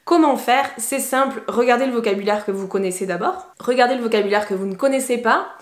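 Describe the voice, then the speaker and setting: A woman's voice: on the high side, fast talker, reverberant. A woman English teacher, giving casual, encouraging tips to camera with plenty of fillers. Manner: chatty, encouraging, many fillers.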